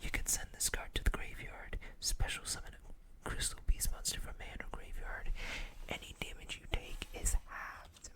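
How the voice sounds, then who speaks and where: An ASMR host who speaks entirely in a whisper, with a bright, crisp edge to the breath. The delivery is hushed and deliberate, moving between reading game card text and casual commentary.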